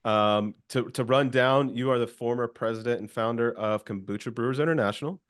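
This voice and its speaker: A man's voice: medium pitch, a little fast, clear, resonant tone. A man hosting a casual interview, speaking in an upbeat, informal way with frequent repeated words. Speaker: interview host.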